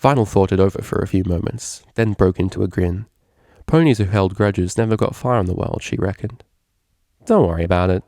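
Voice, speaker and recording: Story reader: male, low gravelly voice, dry recording, scripted narration of fan fiction. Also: brisk, dark tone, no echo.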